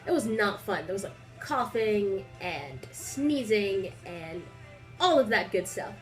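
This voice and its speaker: A woman's voice: medium pitch, slow, soft background music, poor audio. Young woman vlogging with a stuffy nose. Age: young adult. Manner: rambling, filler-heavy, animated storytelling. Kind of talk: casual chatty vlog. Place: small indoor room.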